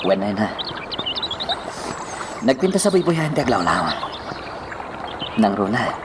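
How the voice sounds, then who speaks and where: A man's voice: fairly low pitch, sing-song, slow, noisy background. A man acting an earnest young village suitor in a radio drama, with an Ilocano Filipino accent. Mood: gentle. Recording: very loud background music.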